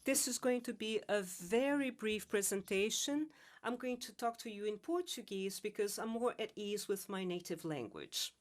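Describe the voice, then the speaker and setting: A woman giving a live interpretation of a conference presentation, measured and halting. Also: medium pitch. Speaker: simultaneous interpreter.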